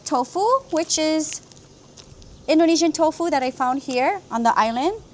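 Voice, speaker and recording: A woman's voice: high-pitched, normal speed, darkish tone, slight background noise. A woman from Hawaii hosting a cooking vlog, explaining each step of a simple recipe in an upbeat way. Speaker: cooking channel host.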